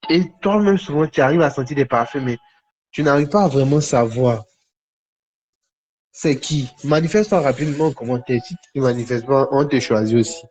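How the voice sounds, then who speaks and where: A man preaching in a conversational, charismatic style to online viewers, repeating short phrases for emphasis with pauses between them. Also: mid-range voice, a little mellow. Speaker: preacher hosting a live online prophetic session. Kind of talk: charismatic sermon and prophetic teaching, conversational, addressing viewers. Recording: dull, lo-fi livestream audio.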